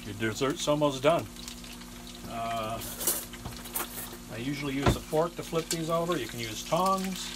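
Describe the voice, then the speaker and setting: A man in his sixties giving a casual, halting cooking demonstration as the host in a small kitchen. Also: on the high side. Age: 60s. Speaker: home cook and cooking show host. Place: small trailer kitchen, indoors. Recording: noisy kitchen, frying sizzle behind voice.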